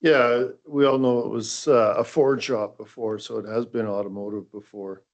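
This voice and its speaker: A man's voice: mid-range voice, steady tone, normal speed. A male town councillor raising concerns in a council debate, speaking earnestly and repeating words as he thinks aloud.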